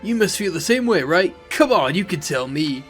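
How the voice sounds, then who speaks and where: A man as an eager, high-pitched hearty fighter. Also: very animated, normal speed, soft background music. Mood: eager, friendly. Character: hearty, easygoing fighter who loves feasting. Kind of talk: fantasy game acting.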